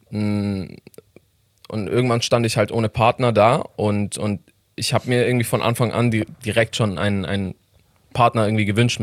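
Guy with a light iranian accent, kinda low voice, lots of umms, laughs a lot, dry close sound. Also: no room sound. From Iran. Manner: hesitant, many fillers, laughs often. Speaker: guest, filmmaker and YouTuber. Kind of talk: casual interview chat.

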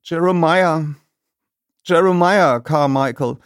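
A man voicing a sad, anxious young boy from a detective storybook, with a thin voice and a halting, upset delivery. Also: on the high side, smooth, no room sound, studio quality, voice pushed up. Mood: hesitant.

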